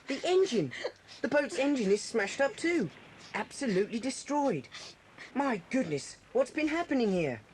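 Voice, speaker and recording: An actor playing a brave, steady schoolboy who takes charge, in a children's audio drama. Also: medium pitch, normal speed, natural voice. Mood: grim, steady. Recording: slightly hissy 1980s cassette tape.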